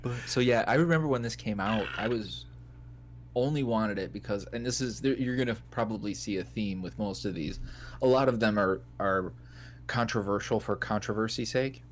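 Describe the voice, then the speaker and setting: A man in his thirties with a fairly low voice co-hosts a casual gaming podcast. He talks in a relaxed, joking way and slips into a mock newscaster read. A faint hum runs under the recording. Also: normal speed. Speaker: podcast co-host. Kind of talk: casual gaming chat, reading list items aloud.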